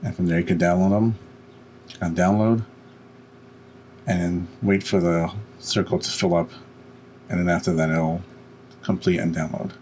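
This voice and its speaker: A man hosting a step-by-step software tutorial with plain, unhurried instruction. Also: low voice, fairly even intonation, a little hiss or hum. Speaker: tutorial host. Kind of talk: step-by-step software screencast narration.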